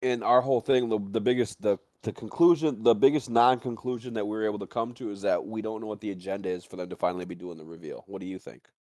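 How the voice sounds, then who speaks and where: Male stream host, lowish pitch, dry sound, rambling conversational interviewer who rephrases as he talks. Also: fairly even intonation, fast, hardly any pauses, a little mellow, no echo. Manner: rambling, circling back to rephrase questions. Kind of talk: casual chat, interviewing a guest.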